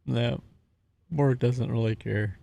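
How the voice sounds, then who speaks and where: A man's voice: mid-range voice, fairly even intonation, slow pace, creaky, dark tone, dry recording. A man chatting casually as a player in a tabletop roleplaying game.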